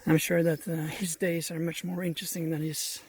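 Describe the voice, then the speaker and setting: A man from Norway speaking English, giving relaxed, chatty travel commentary to camera outdoors at a jungle camp. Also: a little high, a little fast, husky. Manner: relaxed, chatty, thinking aloud. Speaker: travel vlogger.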